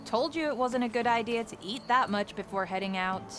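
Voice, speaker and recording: A woman's voice: a little high, fairly quick, music in the background, lightly acted. A woman playing a practical, teasing soldier in a video game, speaking casually with dry humour. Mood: wry. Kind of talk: video game cutscene acting.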